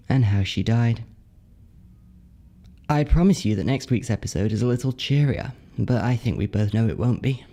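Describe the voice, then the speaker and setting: A man hosting a horror anthology podcast, reading a scripted sign-off in a wry, measured way. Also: medium pitch, mellow. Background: music.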